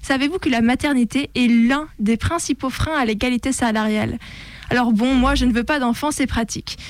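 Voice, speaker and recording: A woman's voice: on the high side, a little fast, no echo. A woman with a French accent presenting a scripted opinion piece on radio in a militant, rhetorical, slangy way. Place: radio studio.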